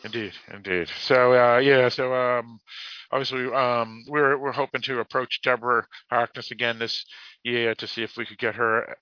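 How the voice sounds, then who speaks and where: A man with a Boston accent hosting a podcast in casual talk, halting and correcting himself as he reads out messages. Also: mid-range voice, fairly even intonation, no room sound, muffled recording. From United States, Boston area. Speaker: podcast host.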